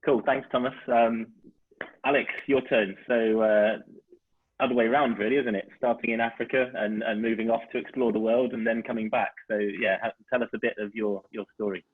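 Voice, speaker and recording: A man's voice: medium pitch, fairly even intonation, fairly quick, breathy edge. Over a phone-like online call, a man hosts a casual interview with informal, loosely phrased questions. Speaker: interviewer, webinar host.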